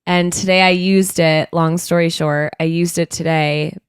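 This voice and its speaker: A woman's voice: lowish voice, fairly even intonation, measured pace, clear, resonant tone, no echo, studio quality. A woman co-hosting a podcast with a stuffy, congested nose, telling stories in a chatty, rambling way full of fillers. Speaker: podcast co-host. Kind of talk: casual chat. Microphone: studio microphone.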